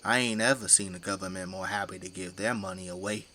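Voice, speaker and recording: Middle-aged zombie fighter, tipsy, folksy bragging, forceful bright voice, audio drama, faint noisy ambience. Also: male voice, low voice, fairly even intonation, quick pace. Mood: matter-of-fact. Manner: folksy, colloquial, easygoing bragging.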